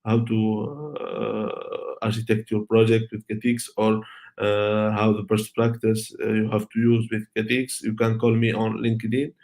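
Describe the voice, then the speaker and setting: A man whose native language is Arabic, presenting an online tech talk and taking questions, speaking haltingly with many fillers and restarts. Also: on the low side, flat delivery, vocal fry, a little mellow. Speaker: conference speaker.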